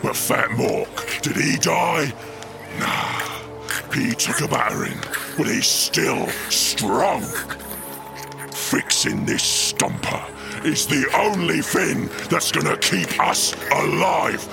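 Bullying ork boss, very gravelly, bellowing, swooping pitch, scornful, audio drama. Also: male voice, animated, takes it slowly, over music, exaggerated voice. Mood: indignant, urgent. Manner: bellowing, scornful, rough ungrammatical speech. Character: brutish, bullying male ork mechanic boss. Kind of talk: scripted fantasy audio drama acting.

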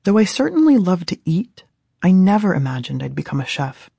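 American woman reading her own audiobook, kinda mellow voice, very dry studio sound. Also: on the low side, sing-song, a little fast, creaky, husky, dry recording. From Southern California, USA. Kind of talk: scripted audiobook narration.

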